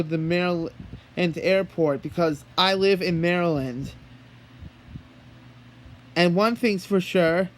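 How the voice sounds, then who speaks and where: A man talking in an earnest, halting way, as if holding a one-sided phone conversation. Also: high-pitched. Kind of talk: one-sided pretend phone call, rambling monologue.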